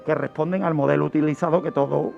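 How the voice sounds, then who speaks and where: A man's voice: a little high, steady tone, quick pace, gravelly, muffled recording, soft background music. A man explaining a true-crime history on radio in a didactic way, posing questions and answering them. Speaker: guest criminologist presenting a true-crime segment.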